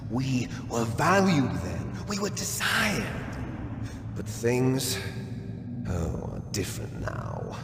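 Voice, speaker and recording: A man playing a bitter, fanatical villainous soldier in a video game, with theatrical, drawn-out declamation and grandiose, taunting menace. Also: medium pitch, huge pitch swings, vocal fry, background noise, heavily acted. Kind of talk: dramatic video game voice acting. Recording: echoey hall reverb with music behind.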